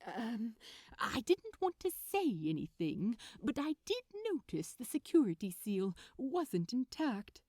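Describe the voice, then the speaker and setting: A woman voicing a bright, polite, gentle male gift-wrapper with a courteous, prim manner in a story reading. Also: on the high side, big pitch swings, takes it slowly, dry recording, clean studio sound, heavily acted. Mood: politely puzzled.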